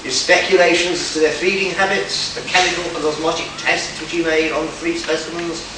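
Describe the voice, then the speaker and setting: A man playing an arrogant, excitable genius botanist in a pulp science fiction radio play, lecturing in a pompous, condescending way. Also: a little high, a little fast, natural voice. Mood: commanding. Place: live convention performance, recorded from afar. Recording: distant echoey room, clearly noisy.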